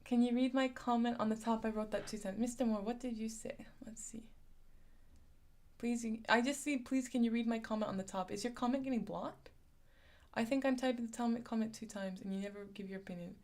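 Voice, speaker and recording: A woman's voice: on the high side, fairly even intonation, a little fast, darkish tone. A young woman from San Diego with a lisp, chatting casually on a live stream, reading chat comments aloud and laughing often. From San Diego, USA.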